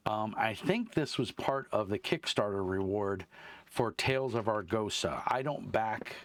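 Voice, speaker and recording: A man with a deep voice hosting his tabletop game review channel, rambling casually with lots of fillers and restarts. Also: expressive, a little slow, slight background noise. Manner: meandering, many fillers and restarts. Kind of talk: casual rambling chat to camera. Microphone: wireless clip-on mic.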